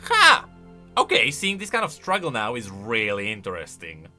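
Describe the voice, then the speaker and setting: A man's voice: medium pitch, wildly swooping pitch, normal speed, sharp tone, soft background music. A man giving casual, exclamatory reaction commentary, thinking aloud as a video creator.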